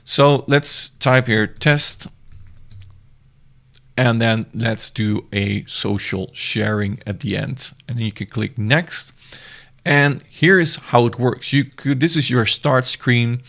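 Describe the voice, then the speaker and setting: Man with a gravelly voice, casual and meandering as he walks through software on screen, often restarting mid-sentence. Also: mid-range voice, slow pace. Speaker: software reviewer. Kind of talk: screen-recorded software demo.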